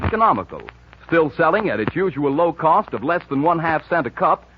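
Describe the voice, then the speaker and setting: A man with an American accent announcing and pitching in polished, persuasive 1940s radio style. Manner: polished, persuasive, smooth phrasing. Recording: muffled vintage 1940s radio broadcast.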